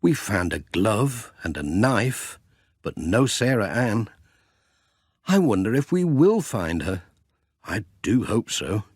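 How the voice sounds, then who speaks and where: A man acting a friendly village postman from a children's storybook. He sounds cheerful and earnest, with very varied intonation and an easy, slightly slow pace in a dry recording. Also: on the low side, sing-song, husky, no room sound, full character voice. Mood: wistful, hopeful. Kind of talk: children's storybook character dialogue.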